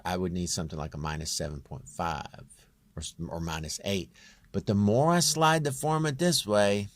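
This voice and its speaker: Deep-voiced man in casual tutorial mode. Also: no room sound. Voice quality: very low, near-bass voice. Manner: rambling, self-deprecating, plain-spoken. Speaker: home-recording singer giving a tutorial. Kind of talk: casual off-the-cuff software walkthrough.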